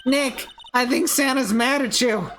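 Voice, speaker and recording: A woman's voice: high-pitched, measured pace, a bit bright, voice pushed up. A woman voicing an eager, curious young woman sidekick in a read-through of a mystery game. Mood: eager, pleading.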